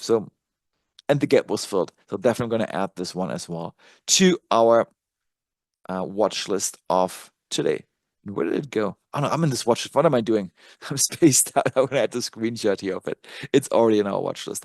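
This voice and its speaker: A man's voice: fairly low pitch, lively intonation, brisk, creaky, darkish tone, dry recording. A native German-speaking man presenting casual live stock chart commentary, conversational and thinking aloud as he works. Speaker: trading analyst and presenter.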